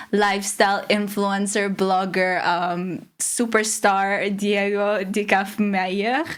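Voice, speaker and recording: A woman's voice: medium pitch, clear, resonant tone. Chatty woman hosting an interview. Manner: upbeat, chatty. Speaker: host. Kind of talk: casual interview.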